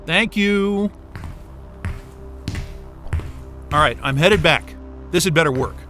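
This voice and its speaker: Stranded polar worker in audio drama: high-pitched, volatile range, profane, urgent, exasperated. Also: male voice, lively intonation, normal speed, pushed and bright, faint music behind. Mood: irritated, hurried. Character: panicked, sweary man stranded at polar station.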